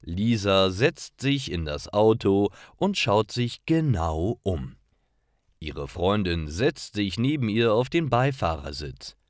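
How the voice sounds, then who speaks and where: A man's voice: lowish voice, big pitch swings, takes it slowly, dry recording, studio quality. A man reads simple stories for language learners in a carefully articulated, scripted narration. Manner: simple, carefully articulated read-aloud delivery.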